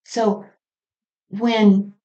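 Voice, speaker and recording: A woman speaking in an unscripted, reflective spiritual monologue, halting and trailing off mid-thought. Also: mid-range voice, level tone, warm tone, lo-fi sound. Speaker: podcast host.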